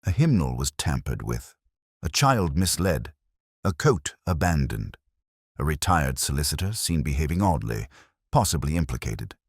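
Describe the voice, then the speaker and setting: Male narrator, very low voice, animated pitch, dry close sound, scripted detective story reading. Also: moderate pace, husky, no echo. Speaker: narrator and reader.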